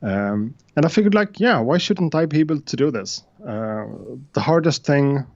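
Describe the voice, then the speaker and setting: A man from Sweden with a Swedish accent, a guest beatmaker chatting casually in an interview over a video call, often pausing with uh and um. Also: on the high side, lively intonation, darkish tone, dry recording, lo-fi sound. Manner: hesitant, many uhs and restarts.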